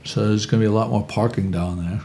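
A man's voice: on the low side, steady tone, quick pace, dark tone, slight background noise. A man in casual local talk, opinionated and rambling, often restarting his sentences.

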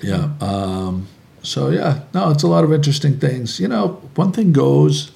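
A man's voice: fairly high pitch, animated, measured pace, creaky, a little mellow. A man in casual local talk, opinionated and rambling, often restarting his sentences.

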